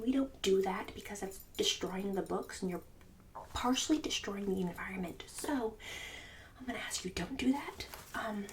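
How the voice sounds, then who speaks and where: A snobbish, passive-aggressive mom acted out by a woman presides over her book club in a whispered ASMR roleplay. Her voice is fairly high when it rises out of the breathy whisper, and she is bossy and fussy. The recording sounds a little distant, with faint hiss. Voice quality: breathy whisper and soft speech. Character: snobbish, condescending middle-class mom running a book club.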